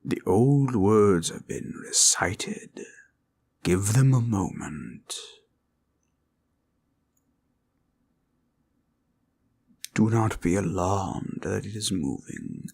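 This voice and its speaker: A man performs a gentle, noble dragon in an intimate fantasy roleplay. The voice creaks and moves very slowly, with wide swells of pitch. The phrasing is formal and solemn, full of protective devotion. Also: exaggerated voice. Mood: reassuring. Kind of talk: intimate comfort roleplay audio.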